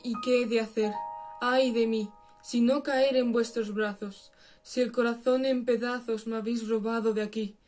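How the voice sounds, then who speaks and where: High, even-toned woman reciting verse over guitar. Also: moderate pace. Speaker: poetry reciter. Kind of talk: theatrical recitation of romantic verse drama.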